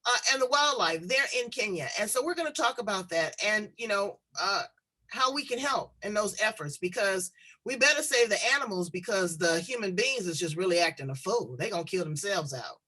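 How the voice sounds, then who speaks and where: A woman hosting a talk show, chatting in a playful, animated way with slangy asides. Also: medium pitch, fairly quick. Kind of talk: lively talk show chat.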